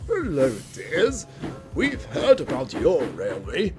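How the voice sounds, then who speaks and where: A woman's voice: deep voice, wildly swooping pitch, slow pace, music in the background, exaggerated voice. A woman voicing a kindly, genteel female railway coach in a children's story, polite and admiring.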